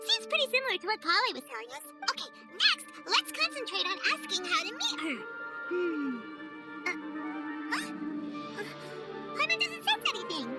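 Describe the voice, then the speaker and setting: An extremely high, childlike fairy companion from a video game. She is chirpy and excitable, exclaiming often, and her pitch swings wildly. Music plays behind her. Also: full character voice. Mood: eager, curious, then puzzled, frustrated. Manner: speaks of herself in the third person, exclaiming. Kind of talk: animated video game voice acting.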